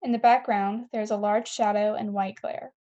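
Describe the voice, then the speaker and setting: A woman's voice: medium pitch, fairly even intonation, moderate pace, warm tone, muffled recording. A woman reading scene headings and stage directions as the narrator of a scripted table read.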